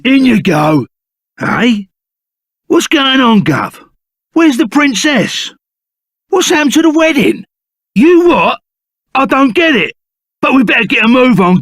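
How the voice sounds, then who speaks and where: An actor playing a rough, loyal, excitable henchman in a video game, with a gravelly voice, a Cockney accent and blunt, chummy, slangy talk. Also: extremely high pitch, slow pace, pauses often, no echo, clean studio sound, heavily acted. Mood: alarmed, resolute. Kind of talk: video game character voice acting.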